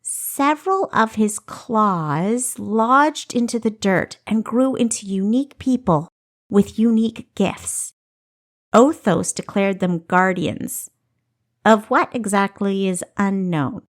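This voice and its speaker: A woman voicing an animated female historian who retells ancient legends with emphatic storytelling in a fantasy audiobook. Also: mid-range voice, takes it slowly, natural voice. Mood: knowing, storytelling.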